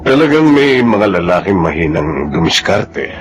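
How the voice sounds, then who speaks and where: An actor playing a smooth, flattering married seducer in a Tagalog radio drama. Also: male voice, low-pitched, moderate pace, soft background music. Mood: suave, flattering. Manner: suave, flattering. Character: smooth, seductive married man wooing a friend's wife.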